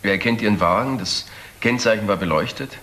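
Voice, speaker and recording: Casting: junior detective, crime radio play, lowish forceful voice, brisk and factual. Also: male voice. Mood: businesslike. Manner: businesslike, factual. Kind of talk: German crime radio drama acting.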